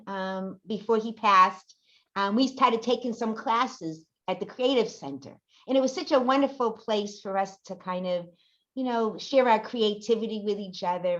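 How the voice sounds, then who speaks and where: A woman's voice: mid-range voice, a bit bright. An older woman sharing personal reflections as a workshop participant on a video call. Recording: dull lo-fi call audio.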